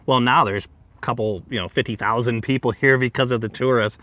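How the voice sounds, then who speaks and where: A man's voice: mid-range voice, fairly even intonation, brisk, bright, forceful tone. A man co-hosting a casual livestream chat, rambling with lots of ums and uhs and a voice that carries. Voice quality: easily heard, carrying voice. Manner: rambling, many fillers and restarts, easy laughter. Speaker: livestream co-host.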